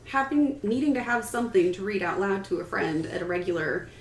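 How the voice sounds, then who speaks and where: A woman's voice: mid-range voice, lively intonation, a little fast. A woman writer chatting casually on a panel in a wry, joking way. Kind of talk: casual chat. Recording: roomy, distant mic with background noise.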